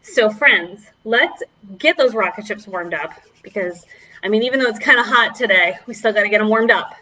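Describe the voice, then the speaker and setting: A woman's voice: medium pitch, normal speed, pushed and bright, poor audio. A woman children's librarian leading a preschool storytime, speaking to young children in an encouraging, exaggerated way.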